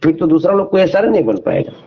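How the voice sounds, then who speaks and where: A man's voice: fairly high pitch, very animated, fast, gravelly, slightly rough. A man argues his opinions emphatically in a phone interview, repeating phrases and posing rhetorical questions. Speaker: interview guest. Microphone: phone call. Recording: dull lo-fi phone call recording.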